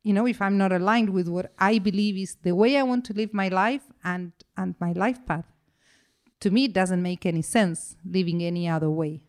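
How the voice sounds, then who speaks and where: Earnest woman guest with a lowish voice. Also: steady tone, normal speed, darkish tone. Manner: earnest, repeats "to me", restarts often. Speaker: interview guest. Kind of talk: earnest conversational interview.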